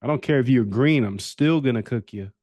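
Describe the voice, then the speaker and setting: A man's voice: mid-range voice, a little fast, a little mellow, no echo, dull audio. A man hosting a live coding stream, chatting loosely with viewers, joking and swearing as he works. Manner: loose, profane, joking banter with chat. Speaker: livestream host coding a trading bot. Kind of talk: casual live-stream chat.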